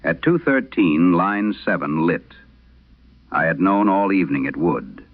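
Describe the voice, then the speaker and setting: A man narrating a vintage late-night radio horror show, reading letters in a solemn, measured, literary way through an old radio filter. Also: sits low, sing-song, narrow, tinny audio. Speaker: horror audio drama host reading letters. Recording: band-limited, dry vintage radio sound.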